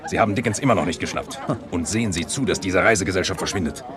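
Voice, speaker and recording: An actor playing a gruff, curt male police lieutenant in a satirical radio play. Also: sits low, very fast, fairly bright tone. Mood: annoyed, commanding.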